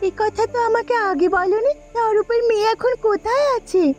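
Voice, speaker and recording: A woman's voice: very high-pitched, a little fast, a little mellow. A woman playing a bandit's questioning, complicit wife in a Bengali cartoon. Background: background music.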